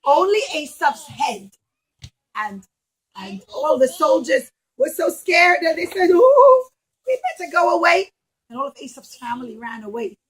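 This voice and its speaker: A woman teaching young children a religious story in an expressive way, acting out the dialogue and putting questions to her listeners. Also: high voice, poor audio. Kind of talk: storytelling puppet show lesson for young children.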